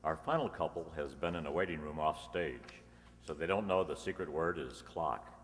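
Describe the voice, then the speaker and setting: Polished old-time radio pitchman, male actor, low register, reverberant hall. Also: moderate pace. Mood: announcing. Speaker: announcer. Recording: echoey hall, long reverb.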